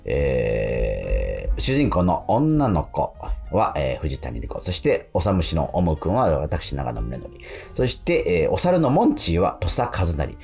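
A man's voice: rumbling low, wildly swooping pitch, measured pace, creaky, darkish tone, faint music behind. A man hosting a radio show in a casual, chatty style.

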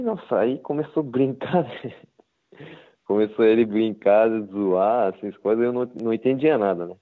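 A man whose first language is Japanese, speaking halting Portuguese with a Japanese accent and many fillers in a casual interview. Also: lowish voice. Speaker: interviewed footballer. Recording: tinny phone-line sound.

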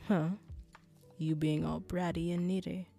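A woman acts out a female mafia boss in an intimate audio roleplay, with music behind her. Her voice sits fairly low and is very warm and mellow. She is in command, yet teases her girlfriend and dotes on her with pet names. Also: level tone, a little slow, creaky. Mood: teasing, affectionate. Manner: assured, teasing, affectionate, pet names.

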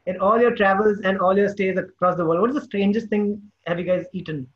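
High-pitched man hosting, slightly dull sound. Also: steady tone, fairly quick. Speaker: podcast host. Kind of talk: casual interview chat.

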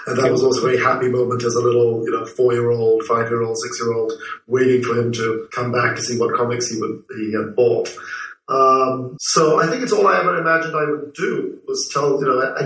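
A man in his forties from Malaysia and London, a guest telling stories in a reflective way with many pauses and fillers. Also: mid-range voice, fairly even intonation, fairly bright tone. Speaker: guest, TV producer and writer. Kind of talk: relaxed interview answers. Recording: roomy, distant from the mic.

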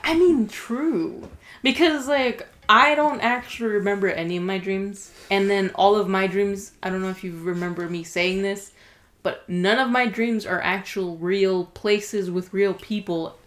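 A woman with a mid-pitched, everyday voice in a relaxed podcast chat. She talks easily and drops short interjections while the others speak. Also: unhurried. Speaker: podcast co-host. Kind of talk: casual chat.

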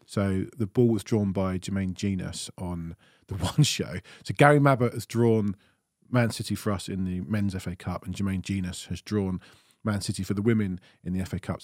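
A man with a low, mellow voice hosts a casual fan podcast. He talks in an easygoing way and laughs often. His voice sits close on a dry mic. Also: no room sound. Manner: easygoing, laughs often, runs through news briskly. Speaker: podcast host.

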